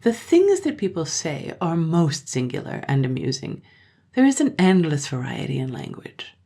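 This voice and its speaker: A woman's voice: deep voice, wildly swooping pitch, normal speed, creaky, warm tone, breathy edge, heavily acted. A woman voicing an odd, teasing village girl who talks in a cool, sly, riddling way, in a storybook reading. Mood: aloof.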